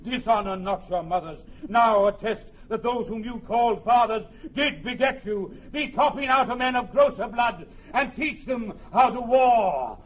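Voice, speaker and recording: A man's voice: high-pitched, animated. An actor playing a heroic, commanding young English warrior king, declaiming in a rousing Shakespearean radio drama style. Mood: rousing, exhorting. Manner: declamatory, rousing, drawn-out emphatic phrases. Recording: muffled old radio recording.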